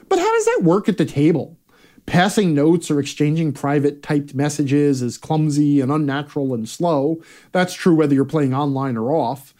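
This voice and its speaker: Male, brash sarcastic columnist reading aloud, very lively swooping intonation, theatrical stresses. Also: on the high side. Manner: brash, profane. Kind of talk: scripted comedic rant read aloud.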